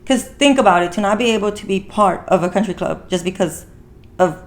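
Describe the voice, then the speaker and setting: A woman's voice: mid-range voice, a little fast. Earnest woman hosting a casual talk. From Mexican heritage. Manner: earnest, repeats phrases for emphasis. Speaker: talk show host. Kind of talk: casual movie review discussion.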